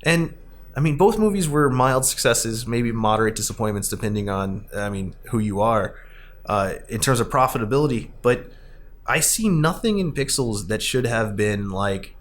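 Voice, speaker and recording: A man in his thirties hosting a casual discussion of films and arcade games, halting and often correcting himself. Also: medium pitch, lively intonation, a little hiss or hum. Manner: rambling, halting, self-correcting. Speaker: podcast host.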